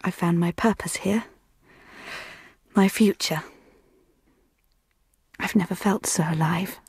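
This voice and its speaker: Radio drama: devoted young woman, breathy, solemn confessional tone, dry acoustic. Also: fairly low pitch, slow pace, darkish tone, dry recording, heavily acted. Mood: earnest, confiding. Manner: confiding, solemn, partly whispered. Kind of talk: dramatic monologue.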